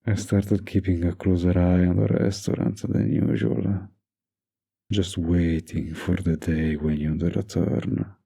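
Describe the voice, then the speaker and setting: An actor playing a doting, dominant young mafia heir in an intimate boyfriend roleplay, with a gravelly, husky voice and a murmured, teasing, possessive manner. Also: male voice, deep voice, little pitch movement, slow pace, dark tone, exaggerated voice. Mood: fond, reminiscing.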